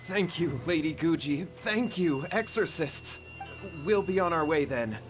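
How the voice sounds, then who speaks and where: A man's voice: on the high side, lively intonation, measured pace, faint music behind. A man playing a humble, worried young brother in an anime game, earnest and polite. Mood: grateful.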